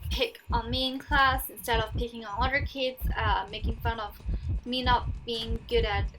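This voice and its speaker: A woman's voice: fairly high pitch, fairly even intonation, moderate pace, background noise. A young woman with a Cantonese accent, chatting casually and telling stories while she plays, with fillers and restarts. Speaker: gaming vlogger. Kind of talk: casual storytime over gameplay. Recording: voice-over with loud game music.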